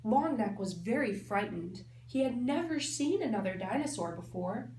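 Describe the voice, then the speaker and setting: A woman's voice: on the high side, expressive, moderate pace, a little mellow. A woman teacher reading a storybook aloud expressively, explaining and thinking aloud for children. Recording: echoey room, faint background noise.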